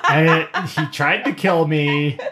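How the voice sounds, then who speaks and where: A mid-pitched podcast co-host talks casually about pop culture. The delivery is explanatory, the kind that walks a listener through a point and drops in playful, acted-out asides. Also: fairly even intonation, takes it slowly, bright, forceful tone, soft background music.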